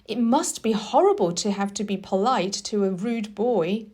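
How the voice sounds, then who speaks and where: A woman's voice: mid-range voice, normal speed, darkish tone, natural voice. A woman voicing a frank, scolding young girl in a storybook, in a blunt, matter-of-fact way. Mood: blunt, reproving.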